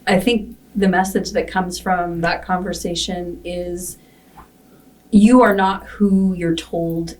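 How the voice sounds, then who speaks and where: A woman's voice: on the low side, fairly even intonation, slow, a little mellow. A woman hosting a casual podcast conversation, speaking in an affirming way and often checking for agreement. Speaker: podcast host. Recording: distant microphone.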